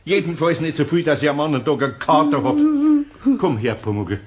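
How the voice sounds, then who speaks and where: A man's voice: fairly high pitch, animated, brisk, natural voice. An actor playing a kindly, fussing older craftsman with a Bavarian accent in a children's radio play, exclaiming and repeating himself. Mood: soothing, flustered. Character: kindly, flustered older craftsman, worried about his kobold.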